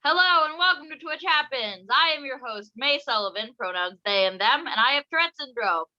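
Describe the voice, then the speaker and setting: A young adult host running a playful, casual home interview with silly sing-song asides. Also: female voice, high voice, animated, fairly quick, bright, forceful tone, muffled recording. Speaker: podcast host.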